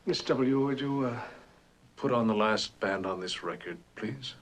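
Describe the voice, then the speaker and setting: A man's voice: medium pitch, unhurried, close to an everyday voice. An actor playing a reclusive, paranoid, imperious rich man in a vintage television drama, with a grandiose, volatile manner. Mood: brooding, weary. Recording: noisy old television soundtrack.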